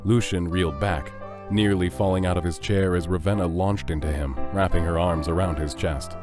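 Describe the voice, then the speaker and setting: A man's voice: low voice, steady tone, normal speed. A man reading scripted narration for an audio drama as its narrator. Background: music.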